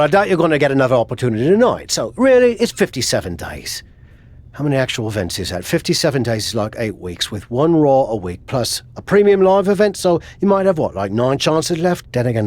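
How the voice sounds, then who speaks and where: A man's voice: medium pitch, big pitch swings, quick pace, a little mellow, no echo. A man playing a frank, supportive wrestler mentor in naturalistic video game dialogue. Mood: blunt. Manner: frank, advising.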